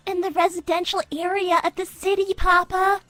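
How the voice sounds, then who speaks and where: A woman's voice: extremely high pitch, little pitch movement, normal speed, pushed and bright, soft background music. A woman voicing a dutiful young artificial-intelligence daughter in a cartoon roleplay, polite, worried and hesitant. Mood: reporting.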